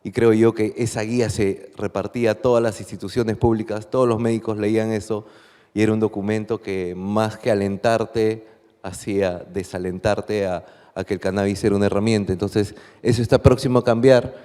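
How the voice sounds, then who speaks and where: A man with a Peruvian accent reports to a conference as a doctor on the panel. His voice is fairly low, mellow and very clear. It rings with the long reverb of a large hall. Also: level tone, normal speed. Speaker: guest panelist, medical doctor.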